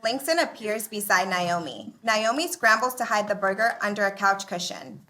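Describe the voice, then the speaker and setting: A woman's voice: mid-range voice, normal speed, sharp tone. A woman narrating scripted stage directions for a live sitcom table read.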